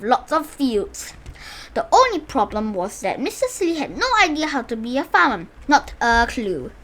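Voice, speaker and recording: A woman's voice: high voice, sharp tone. A woman reading a children's storybook aloud with playful, emphatic expression. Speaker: storybook reader.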